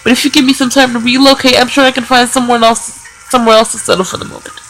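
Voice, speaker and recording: A woman's voice: on the high side, level tone, fast talker, a bit bright, faint music behind, rough recording. A woman voicing a timid, flustered young mage in a visual novel, hesitant, stammering and deferential. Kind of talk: visual novel character read-aloud.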